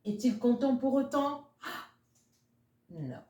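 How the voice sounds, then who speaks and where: Slow, animated woman reading in an echoey room. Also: high voice. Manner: animated, addresses listeners as friends. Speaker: children's storybook reader. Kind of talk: expressive read-aloud for young children. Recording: roomy, echoey sound.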